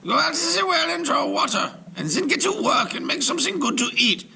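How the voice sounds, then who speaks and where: A man doing a shrill, crackly old witch. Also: sing-song, fairly quick, gravelly, pushed and bright, heavily acted. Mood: bossy. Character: gnarled cruel old witch, greedy and scheming.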